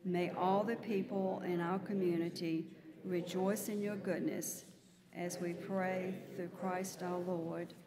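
An older woman reads a formal offertory prayer with solemn care. Her delivery is slow and very even in pitch, carried in the long reverb of a church with congregation sounds behind. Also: crowd noise. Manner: solemn, measured. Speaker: liturgist. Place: large church sanctuary at the altar.

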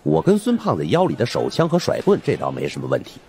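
A man's voice: mid-range voice, wildly swooping pitch, quick pace, gravelly, a little mellow. A man narrating an audiobook as dramatic, scripted storytelling.

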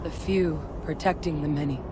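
A woman playing a cool, frank, serious companion in a video game, measured and matter-of-fact. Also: deep voice, steady tone, background noise, natural voice. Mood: composed. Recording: game music behind.

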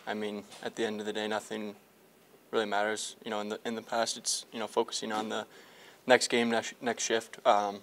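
Young man with a flat, halting delivery. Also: fairly low pitch, slight background noise. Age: young adult. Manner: hesitant, many fillers and restarts. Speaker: hockey player. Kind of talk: post-game media scrum. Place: team locker room. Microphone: several reporters' handheld mics held close.

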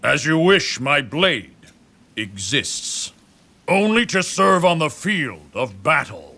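A solemn, devoted swordsman for a video game. His declamatory delivery is slow and swings widely in pitch, amid clearly noisy cutscene audio. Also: very animated, pushed and bright, exaggerated voice. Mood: fierce devotion. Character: stern, fiercely devoted warrior swordsman.

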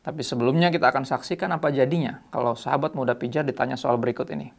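A man in his thirties hosting a youth devotional show, explaining Bible passages in a relaxed teaching manner and reading verses aloud. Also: mid-range voice, racing, gravelly, noisy background. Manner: reads scripture aloud between casual remarks. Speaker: host. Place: small dark studio set, indoors.

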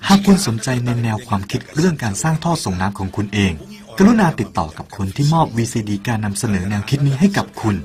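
A man's voice: mid-range voice, sing-song, quick pace. A man narrating a parable in an earnest, persuasive way and speaking directly to the listener. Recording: soft music behind the voice.

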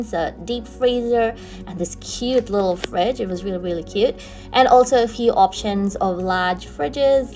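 A chatty young woman talks viewers through a store, pointing out products and prices in a casual, upbeat vlog voice. Her voice sits at a middle pitch, with soft music underneath. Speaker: vlogger. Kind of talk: casual shopping tour narration. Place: in a car on the motorway.

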